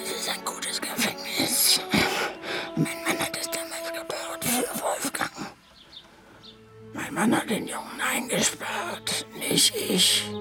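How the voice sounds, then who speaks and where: An actress playing a frail, resigned old mother held captive, breathy and halting, in a radio drama. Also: very high voice, sing-song, heavily acted. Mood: wistful, bleak. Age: older. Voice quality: breathy, whispery. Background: loud music.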